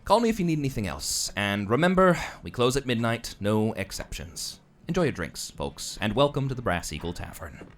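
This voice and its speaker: A man's voice: medium pitch, sing-song, normal speed, few pauses, slight background noise, lightly acted. A man playing a courteous but nervous, evasive tavern keeper in a fantasy audio drama. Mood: welcoming. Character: polite, flustered, evasive male tavern keeper.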